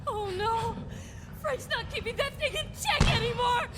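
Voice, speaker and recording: A woman voicing a small, loyal companion who shouts urgently and breathlessly. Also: very high-pitched, moderate pace. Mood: panicked. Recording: loud background noise.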